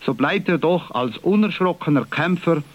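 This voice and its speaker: A man from Valais with a Swiss accent, presenting church news on the radio in a formal, measured reading. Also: a little high, expressive, muffled recording. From Valais, Switzerland. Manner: formal, measured, clear delivery.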